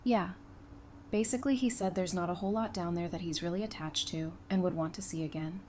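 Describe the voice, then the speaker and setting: A woman's voice: lowish voice, a little fast, darkish tone, slight background noise, close to an everyday voice. A woman playing a practical, easygoing survivor in an audio drama, speaking in a matter-of-fact, conversational way. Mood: explaining.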